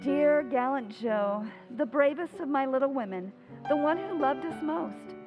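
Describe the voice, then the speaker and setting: A woman playing a loving, wistful mother who narrates in a tender, measured old-time radio drama style. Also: high-pitched, expressive, phone-quality sound, music in the background.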